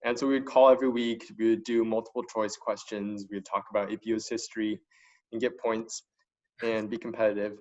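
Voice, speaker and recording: A man's voice: on the low side, little pitch movement, fairly quick, a little mellow. A young man from China giving a casual, enthusiastic talk as a student panelist, speaking informally. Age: young adult. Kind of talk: casual spoken testimonial. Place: online video conference call. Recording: dull lo-fi online call audio.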